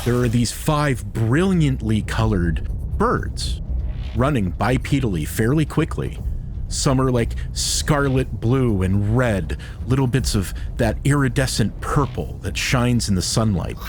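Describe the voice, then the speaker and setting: A man talking and narrating a tabletop game in an emphatic, descriptive way, pausing for drama on key words. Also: mid-range voice, animated, a little mellow, slight background noise. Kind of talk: animated talk and improvised game narration. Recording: music behind the voice. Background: music.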